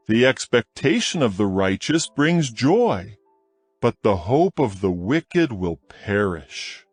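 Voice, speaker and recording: A man reading proverbs from scripture in a slow, measured, solemn manner as a studio voice-over. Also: fairly low pitch, no echo. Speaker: scripture reader.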